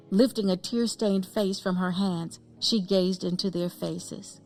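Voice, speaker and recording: A woman reading a novel expressively as scripted audiobook narration. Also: medium pitch.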